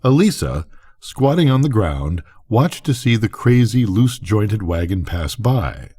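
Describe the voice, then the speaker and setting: An older man narrates a bedtime story. His voice sits fairly low and moves with a lot of melody, and he phrases things deliberately and expressively. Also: big pitch swings, mellow, slightly rough. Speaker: narrator, grandfather reading aloud.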